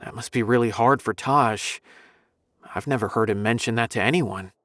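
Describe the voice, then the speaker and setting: An actor playing an earnest young officer in a video game, with an expressive way of talking. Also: male voice, medium pitch, normal speed, dry recording, clean studio sound, lightly acted. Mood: thoughtful.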